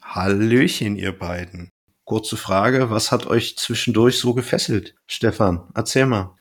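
A man's voice: lowish voice, measured pace. A man hosting a podcast, moderating in a casual, chatty style. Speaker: podcast host.

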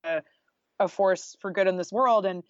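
A woman's voice: medium pitch, normal speed, no echo, muffled recording. A woman talking casually and informally as an interview guest, peppering her speech with "like" and "you know". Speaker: guest author and journalist.

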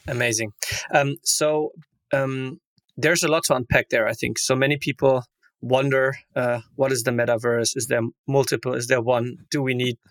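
A man's voice: mid-range voice, steady tone, normal speed, a bit bright, no room sound. A male podcast host in a mid-range voice, chatty and enthusiastic, who winds through his questions with plenty of fillers. The sound is close and dry, with no room around it. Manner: enthusiastic, rambling, many fillers. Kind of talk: conversational interview questions.

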